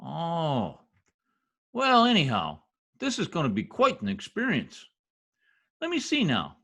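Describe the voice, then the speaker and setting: A man's voice: a little high, wildly swooping pitch, unhurried, fairly bright tone, exaggerated voice. A man playing a cocky, bumbling middle-aged husband in a folksy, slangy, self-important way, in 1950s radio comedy style. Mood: breezy.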